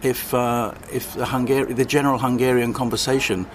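An older man, a longtime journalist, answering interview questions hesitantly, with many pauses and restarts and a wry edge. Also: medium pitch, fairly even intonation, slight background noise. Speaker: journalist guest.

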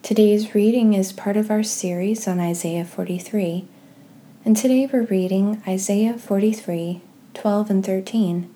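A woman leading a guided meditation and scripture reading in a gentle, soft-spoken, measured way with pauses. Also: mid-range voice, steady tone, a little hiss or hum. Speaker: host of a guided prayer podcast.

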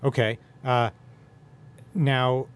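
A man's voice: medium pitch, flat delivery, drawn-out words, smooth. A man hosting a podcast in a casual chatty style, asking rambling questions and interrupting himself as he goes. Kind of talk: casual chat.

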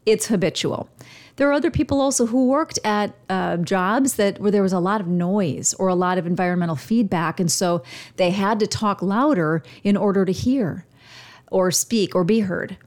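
A woman who hosts a show, speaking as a communication coach with a radio-trained delivery, using deliberate pauses and shifting her volume to demonstrate. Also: mid-range voice, a little mellow. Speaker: podcast host and communication coach. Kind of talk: instructional monologue. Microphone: studio microphone.

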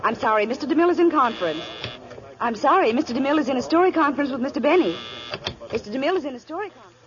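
An actress playing a brisk young secretary answering the phone in a 1930s radio play, clipped and polite. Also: female voice, high voice, lively intonation, crowd noise. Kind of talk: 1930s radio drama acting. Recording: lo-fi 1930s radio with music behind.

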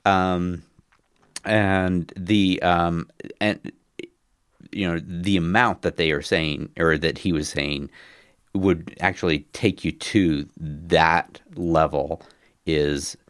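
A man with a very low, bass voice hosts a casual podcast chat while a cold is coming on. He talks haltingly, with ums and restarts. The sound is close and dry. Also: steady tone, a bit bright, clean tone, no echo. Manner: hesitant, many ums and restarts. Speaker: podcast host.

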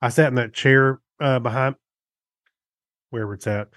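A middle-aged man speaks as a casual podcast co-host. His voice sits at an average male pitch, and he feels his way haltingly through sentences. The recording is dry, without room sound. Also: steady tone, a bit bright, no room sound. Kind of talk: casual chat about gadgets.

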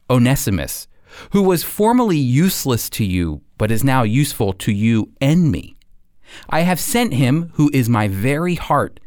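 A man's voice: medium pitch, a little mellow, no room sound, studio quality. An American man presenting scripted radio commentary and Bible teaching as a co-host. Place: dry studio.

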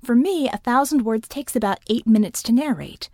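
A woman who works as a narrator, giving instructional advice in a deliberate, emphatic, articulate way on a close mic. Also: fairly high pitch, mellow, dry recording. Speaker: audiobook narrator. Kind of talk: instructional talk to listeners.

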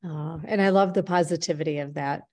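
A woman's voice: sits low, fairly even intonation, fairly quick, a little mellow. A woman hosting a conversational podcast, affirming her guest with enthusiasm. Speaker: podcast host, marketing CEO.

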